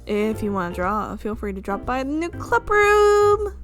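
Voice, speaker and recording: A woman voicing a breezy, forceful female college student in a visual novel, with a drawn-out delivery. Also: on the high side, big pitch swings, faint music behind. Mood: inviting.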